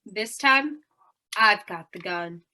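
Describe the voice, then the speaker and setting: A woman's voice: mid-range voice, animated, slow, pushed and bright, a little hiss or hum, exaggerated voice. A woman playing a desperate, gun-waving carnival crook in an old-time radio crime drama, urgent in manner. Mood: tense, desperate.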